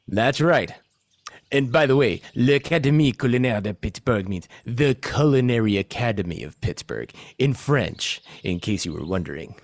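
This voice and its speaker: A man's voice: mid-range voice, expressive, normal speed, no echo, lightly acted. A man playing a cocky, chatty zombie extraction team leader in a comedic audio play, briefing in a self-satisfied way. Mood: matter-of-fact.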